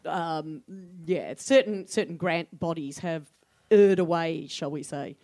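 A woman's voice: sits low, slow, mellow, no echo. An older Australian woman talking candidly as a podcast guest, chatty, with fillers and frequent laughter. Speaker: comedy room programmer, guest. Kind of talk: live podcast conversation.

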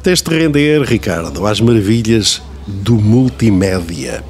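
A man's voice: fairly low pitch, lively intonation, unhurried, darkish tone. A man playing a veteran radio personality, a fussy, pedantic grumbler with a European Portuguese accent, in a comedic radio drama. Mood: chatty. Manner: pedantic, nitpicking, digressive. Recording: clearly noisy, room ambience.

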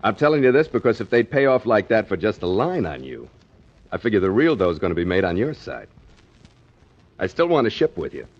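A man's voice: on the low side, big pitch swings, a little fast, husky. An actor playing a sly, cocky sailor schemer in 1940s radio drama, with slangy, needling tough-guy talk on a muffled old recording. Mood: scheming, persuasive. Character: sly, cocky waterfront schemer, a sailor angling to join a ship.

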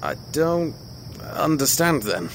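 An actor playing a hurt young ex-boyfriend in an audio drama, sardonic, clipped and dismissive. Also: male voice, fairly high pitch, very animated, slow, a bit bright, exaggerated voice. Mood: incredulous. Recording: noisy, night insect ambience behind.

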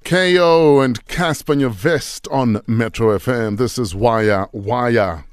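A man's voice: medium pitch, slow, clean tone, no echo. A man with a South African accent hosting a morning radio show in a studio, teasing and joking with his guest. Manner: lively, jokey, teasing interviewer. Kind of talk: morning radio show banter and read announcements. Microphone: studio microphone.

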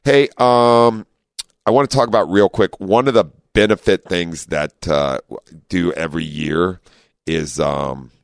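A man with a deep voice hosting a call-in car radio show, talking in a friendly, chatty way with lots of fillers. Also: unhurried, vocal fry, smooth, dry recording, studio quality. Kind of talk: casual talk radio hosting. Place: radio studio. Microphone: studio microphone.

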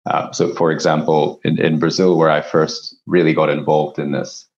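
Man, extremely deep voice, from Japan, executive podcast guest, explanatory conversational answers, slightly muffled lo-fi audio. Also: level tone, moderate pace, vocal fry, a little mellow. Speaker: company CEO, podcast guest.

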